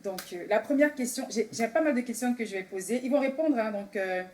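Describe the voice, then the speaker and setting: A woman's voice: on the high side, a little fast, a little mellow. A woman hosting a casual group discussion in an enthusiastic manner. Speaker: host. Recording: clearly noisy room recording.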